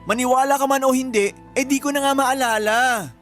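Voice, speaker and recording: Cornered filipino boyfriend in a radio drama, highish voice, hesitant and defensive, faint music under. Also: steady tone, fairly quick, fairly bright tone. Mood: evasive, pleading. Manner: evasive, hesitant. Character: evasive, defensive boyfriend caught lying.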